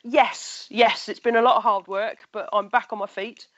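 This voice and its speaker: A woman's voice: mid-range voice, fairly even intonation, normal speed, a bit bright, no echo. A woman speaks with a stuffed-up, nasal tone, easy and chatty as a guest on an online radio show. The sound is dry and close, but dulled and a little lo-fi, like audio over a call. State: blocked nose, nasal. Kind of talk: casual interview talk.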